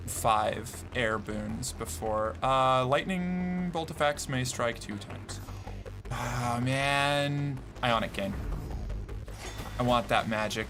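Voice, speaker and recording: A man streaming a video game, reacting out loud, cursing, and talking to himself as he plays. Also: medium pitch, slow, pauses often. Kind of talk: gameplay commentary. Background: game music.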